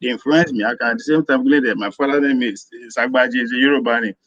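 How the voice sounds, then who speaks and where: A man's voice: medium pitch, fast, a bit bright, dry recording, muffled recording. Rambling Nigerian man arguing a point. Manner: rambling, repeats himself while arguing. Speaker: caller. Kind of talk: live audio room discussion.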